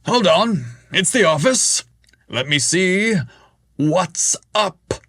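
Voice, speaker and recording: A man voicing a brash, eager adult man who talks loudly and theatrically. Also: high-pitched, animated, slow pace, voice pushed up, exaggerated voice. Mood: upbeat. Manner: loud, theatrical, exasperated.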